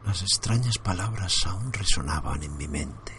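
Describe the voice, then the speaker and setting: A man narrating a first-person horror story for his podcast in a hushed, suspenseful way, often sinking into whispers. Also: low voice, lively intonation, a little slow, a little hiss or hum.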